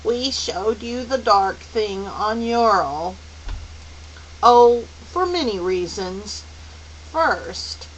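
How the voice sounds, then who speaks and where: Brief: female narrator playing comforting old otherworldly lady for a storybook, raised lively pitch, gentle, endearing, slightly slow. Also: rough recording. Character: kindly, comforting old celestial lady, motherly and wise. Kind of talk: audiobook character voice. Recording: faint hiss.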